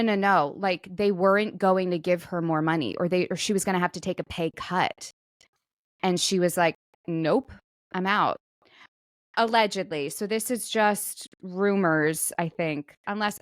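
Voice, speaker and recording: A woman's voice: fairly low pitch, moderate pace, no room sound, clean studio sound. A woman co-hosting a talk podcast, chatting casually and jokingly about the news. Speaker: podcast co-host.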